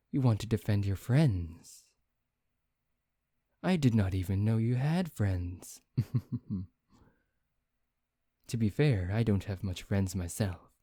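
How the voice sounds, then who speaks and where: Man acting as a slow-speaking, brooding vampire. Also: on the low side, dark tone, no room sound, studio quality. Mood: cool, probing. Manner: theatrical, deliberate, teasing, with sighs and pauses. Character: brooding, taunting male vampire bent on revenge. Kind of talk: ASMR roleplay, soft dramatic acting.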